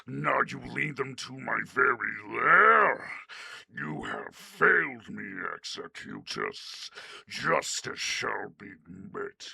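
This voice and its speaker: An enraged, imperious fire lord from a fantasy game. The voice is heavily gravelled and hard-edged, straining in the throat. Each booming phrase is stretched out very slowly, full of theatrical menace. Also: male voice, huge pitch swings, bright, forceful tone, no room sound, exaggerated voice. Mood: contemptuous rage. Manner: booming, drawn-out, theatrical delivery. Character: wrathful, imperious male fire elemental raid boss.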